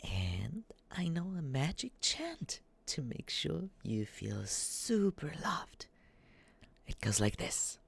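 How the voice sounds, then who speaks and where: A man playing a lovesick, obsessive young yandere stalker in an ASMR roleplay, awkwardly sweet and breathy, with halting, nervous delivery and soft asides. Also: on the high side, very animated, full character voice. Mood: adoring, playful.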